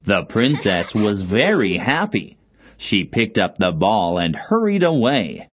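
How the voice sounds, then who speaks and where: Slow, animated male storyteller. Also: lowish voice. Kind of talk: scripted fairy-tale narration for children.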